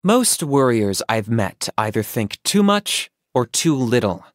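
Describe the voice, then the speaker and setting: A man voicing a calm, pragmatic young hunter in a video game, businesslike, deadpan and composed. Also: medium pitch, slow, no echo, studio quality. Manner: deadpan, matter-of-fact, composed. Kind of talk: video game character voice acting.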